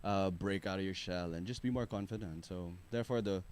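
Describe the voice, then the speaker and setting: A young man from the Philippines with a low baritone voice, chatting in a relaxed, rambling way as a singer-songwriter guest in a radio studio. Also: brisk, a little hiss or hum. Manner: relaxed, rambling, filler-heavy, laughs easily. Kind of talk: casual radio interview chat.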